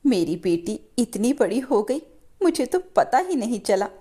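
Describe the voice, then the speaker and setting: A woman voicing a gentle, self-sacrificing mother in a moral story, speaking in a soothing, motherly way. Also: a little high, very animated, unhurried, slightly rough, voice pushed up, heavily acted. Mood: touched, approving. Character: gentle self-sacrificing poor widowed mother, reassuring her daughters. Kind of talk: animated moral story dialogue.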